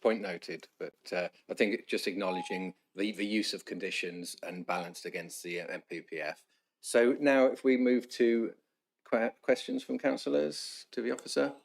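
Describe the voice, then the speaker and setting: A man chairing a council planning committee, polite but halting, often restarting words. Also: fairly low pitch, gravelly.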